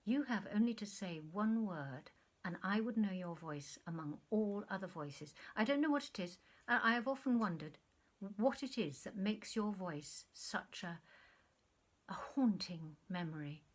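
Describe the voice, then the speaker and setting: A woman reading a storybook part as an earnest, sentimental man who speaks gravely, wistfully and haltingly to a former sweetheart. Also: high voice, lively intonation.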